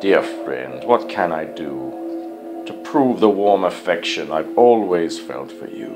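A cunning spider from a storybook, played by a man coaxes his prey with courteous flattery. He talks slowly and low, luring and wheedling, over prominent music. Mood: flattering. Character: cunning male spider, smooth-talking, flattering predator. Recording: music behind the voice.

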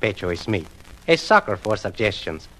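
Lively Spanish-accented evasive landlord. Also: male voice, fairly low pitch, normal speed, rough recording. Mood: smugly evasive. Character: evasive, self-satisfied Latin rooming-house manager. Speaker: actor in a radio drama. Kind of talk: 1950s radio acting.

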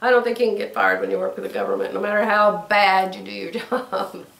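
An older woman with a drawl, hoarse, telling stories and chatting casually to camera as a sewing vlogger. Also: mid-range voice, steady tone, a little fast, fairly bright tone, distant mic. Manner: chatty storytelling, acts out quoted voices. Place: bedroom sewing room, indoors. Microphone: camera across the room. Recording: roomy, from off mic.

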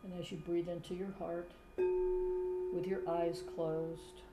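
A woman guiding a meditation with gentle, unhurried instructions and long pauses. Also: fairly low pitch, sing-song, over loud music. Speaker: guided meditation leader, sound healing practitioner. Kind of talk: guided meditation. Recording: roomy echo, loud singing bowl tones. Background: singing bowls and tuning fork tones.

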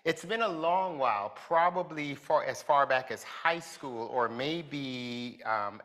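Slow, bright-voiced male pastor preaching. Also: medium pitch. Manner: slow, deliberate delivery with rising emphasis. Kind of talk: sermon. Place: large church hall with a pulpit.

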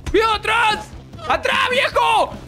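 An Argentine man bantering casually in an online roleplay game, excitable and slangy, often repeating his phrases. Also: extremely high pitch, slow, gravelly, soft background music. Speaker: gamer playing a roleplay character.

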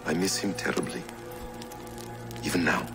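An actor playing a grieving, betrayed warrior in a video game, speaking in a hushed, halting, brooding way. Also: male voice, sits low, vocal fry, over music. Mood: quiet grief.

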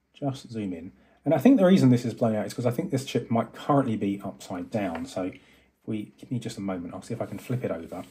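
A man's voice: fairly low pitch, mellow, slight background noise. A man hosting an electronics video, narrating a hands-on demonstration at his desk, thinking aloud with fillers and self-corrections. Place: desk with microscope and monitor, indoors.

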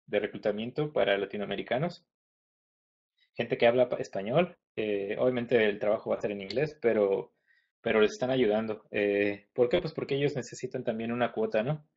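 A man's voice: mid-range voice, darkish tone, slightly rough. A Mexican man, likely in his thirties, speaking at an online conference with many fillers, restarts and stops. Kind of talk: webinar presentation. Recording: dull lo-fi webinar audio.

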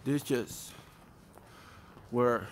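A man vlogs as he walks down a street, talking slowly in short, halting bits. His voice sits at an average pitch, and the delivery is casual and unscripted. Faint traffic noise runs behind him outdoors. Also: gravelly. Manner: halting, speaks in short fragments. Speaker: vlogger giving a walking tour. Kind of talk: casual, unscripted walking commentary. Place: outdoors walking along a residential street.

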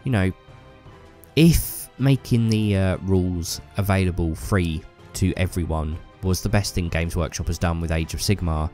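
Deep-voiced man with a stuffy cold. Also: moderate pace, a little mellow, soft background music. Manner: enthusiastic, rambling with fillers. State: bunged up with a cold. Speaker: YouTuber. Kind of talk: casual hobby vlog talk.

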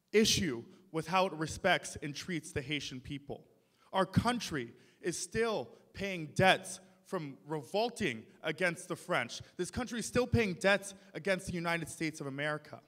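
A man's voice: fairly high pitch, a bit bright. A young man, a city council member, giving a passionate speech at a podium in a large ornate hall. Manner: passionate, rising to emphatic points. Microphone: podium mic. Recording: long hall reverb. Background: audience in the hall.

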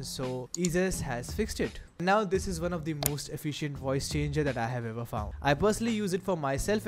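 A man with a fairly high voice narrates a software tutorial. He addresses viewers directly with promotional enthusiasm, over clearly audible background music. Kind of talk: screen-recorded tutorial narration.

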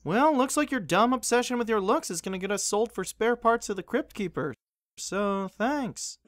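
A performer voicing an excitable, bossy, bookish preteen boy adventurer in a cartoon comic dub, easily exasperated. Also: medium pitch, moderate pace, no room sound, clean studio sound, lightly acted. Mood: annoyed. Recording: music behind the voice.

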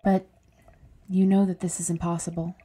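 An actress playing a gentle, seductive, quietly scheming woman in an intimate audio drama. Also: on the low side, little pitch movement, normal speed, slightly rough. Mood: persuasive, scheming. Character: soft-spoken, seductive, scheming captive woman. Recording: faint background ambience.

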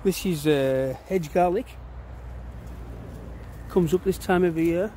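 Unhurried man foraging outdoors, slightly high voice. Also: dark tone, a little hiss or hum. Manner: plain, unhurried, pointing things out as he digs. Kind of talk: casual walk-and-talk foraging demo. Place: outdoors in woodland.

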